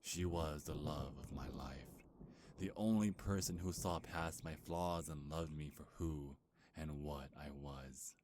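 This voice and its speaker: A man's voice: very deep voice, a little slow, darkish tone, a little hiss or hum. A man playing an ancient, bitter, grieving beast king in a dramatic ASMR roleplay, brooding and menacing with heavy sighs. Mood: grieving, regretful.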